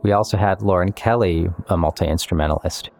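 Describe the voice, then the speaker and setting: Low, gravelly man over guitar music. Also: brisk, darkish tone. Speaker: musician and composer. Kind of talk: reflective interview monologue about making music. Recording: music playing behind the voice. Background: guitar-led instrumental music.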